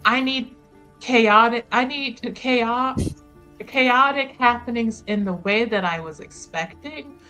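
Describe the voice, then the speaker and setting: A woman's voice: a little high, takes it slowly, sharp tone, soft background music, low-quality recording. A woman playing a tabletop roleplaying game, improvising casual in-character dialogue, hesitant and repeating her phrases.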